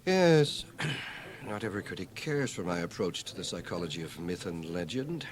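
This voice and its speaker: An actor playing a dry, erudite older scholar in a radio drama, measured, precise and stoical. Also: male voice, low-pitched, very animated. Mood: dry, cutting. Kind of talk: radio drama acting and first-person narration. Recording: 1980 radio drama, home cassette transfer.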